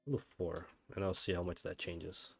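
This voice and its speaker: A man's voice: deep voice, flat delivery, moderate pace, gravelly, soft background music. A man giving casual gameplay commentary as a streamer, talking offhandedly to himself and repeating phrases. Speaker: game streamer.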